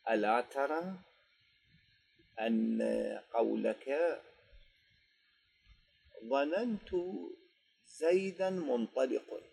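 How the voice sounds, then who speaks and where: A man with an Iraqi Arabic accent teaching a grammar lesson, dictating slowly and quizzing his students before answering his own questions. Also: mid-range voice, expressive, vocal fry, a little mellow, slightly rough.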